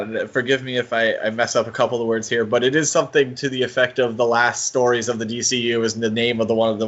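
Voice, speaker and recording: A man's voice: mid-range voice, fairly bright tone, muffled recording. A man co-hosting a podcast in casual discussion, talking in a hesitant, rambling way and correcting himself as he goes. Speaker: podcast co-host.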